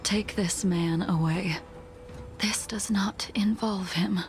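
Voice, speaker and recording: A woman voicing a haunted, hate-driven swordswoman in a video game, speaking softly, breathily and solemnly. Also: medium pitch, steady tone. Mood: calm menace. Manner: soft, breathy, measured and solemn delivery. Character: sane but haunted, hate-sustained swordswoman of long-life species. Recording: game dialogue with music behind.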